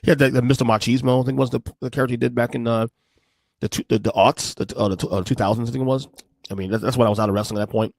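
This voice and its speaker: A man's voice: mid-range voice, steady tone, racing, gravelly, darkish tone, no room sound. A man in his forties hosting a podcast, giving rambling, emphatic commentary on video clips and often repeating himself. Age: 40s. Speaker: podcast host.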